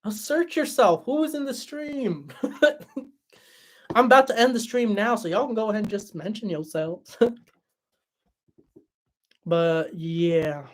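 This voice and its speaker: A young man hosting a livestream and answering chat in a relaxed, chatty way, his voice tired after hours of talking. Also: sits high, slow, long pauses. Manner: relaxed, chatty, many fillers and restarts. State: voice tired after a long stream. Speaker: livestream host reading chat.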